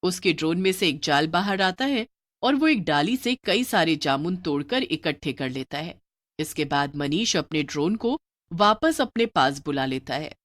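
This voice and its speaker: A woman's voice: low-pitched, sing-song, moderate pace, fairly bright tone. A woman reading a scripted story as its narrator.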